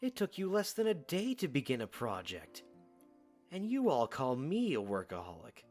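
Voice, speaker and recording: A man's voice: mid-range voice, huge pitch swings, normal speed, a little mellow, soft background music. A man playing an earnest, protective young warrior in an audio drama, plain-spoken and given to short sentences. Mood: gentle teasing.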